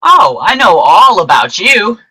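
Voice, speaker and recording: Earnest apprentice angel for radio drama, played by an actor: forceful, unhurried, very lively pitch, emphatic. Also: husky, exaggerated voice, low-quality recording. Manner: animated, emphatic, deliberate. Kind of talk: old-time radio play acting with narration to listeners.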